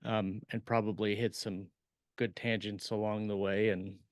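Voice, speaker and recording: A man's voice: lowish voice, level tone, measured pace, no room sound, clean studio sound. A man hosting a podcast as a fiction author, chatting in a loose, casual style.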